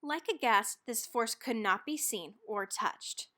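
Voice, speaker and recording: Lady reading a kids book out loud, really bright voice on the high side, dramatic pauses and punched words. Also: animated. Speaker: read-aloud narrator of a children's book. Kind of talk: expressive scripted storybook reading.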